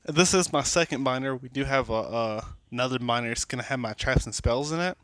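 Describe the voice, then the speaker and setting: A man rambles through a casual show-and-tell, tipsy and sniffly with a cold, full of fillers and restarts. His voice sits at an ordinary middle pitch. It is recorded close on a phone in a dry, dead-sounding room. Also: fairly quick, fairly bright tone, no room sound. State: tipsy from drinking. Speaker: card collector vlogger. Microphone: phone camera.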